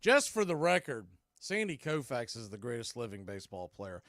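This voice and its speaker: A man's voice: mid-range voice, sing-song, normal speed, fairly bright tone, dry recording, clean studio sound. A man doing live baseball play-by-play as announcer and host, conversational and opinionated.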